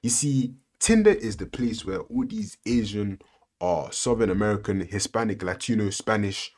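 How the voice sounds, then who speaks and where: A man's voice: lowish voice, lively intonation, normal speed, darkish tone. A man hosting a reaction video, giving sarcastic, lecturing commentary full of rhetorical questions.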